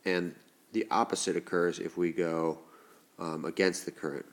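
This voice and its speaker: A male teacher with a stuffed-up, congested voice, slowly explaining a lesson step by step as he writes. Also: low voice, level tone. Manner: slow, deliberate explaining while writing. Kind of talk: recorded classroom lesson.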